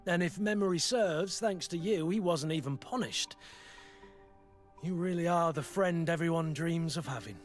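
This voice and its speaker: An actor playing a weary, loyal veteran soldier in a video game, speaking in an earnest, measured way. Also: male voice, on the high side, faint music behind, natural voice. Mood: warm, reflective. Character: weary, loyal veteran mercenary soldier, plain-spoken and resolute. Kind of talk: video game dialogue acting.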